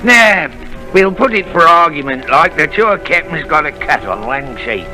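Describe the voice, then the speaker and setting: A sly wheedling pirate. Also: male voice, fairly high pitch, lively intonation, normal speed, pushed and bright, poor audio. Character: menacing sly old pirate, wheedling man. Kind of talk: 1930s radio drama acting. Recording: old radio broadcast with music.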